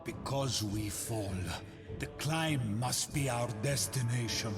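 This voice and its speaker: An actor playing a grim, defiant, battle-worn warrior in a video game, solemn and deliberate, with a foreign accent. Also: male voice, medium pitch. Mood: rousing, resolute. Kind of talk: dramatic video game character voice lines. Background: cinematic theme music.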